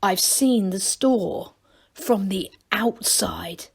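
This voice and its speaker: A woman voicing an excitable, boastful young male gnome adventurer who babbles with breathless enthusiasm. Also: mid-range voice, drawn-out words, gravelly, husky, exaggerated voice. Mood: awed boasting.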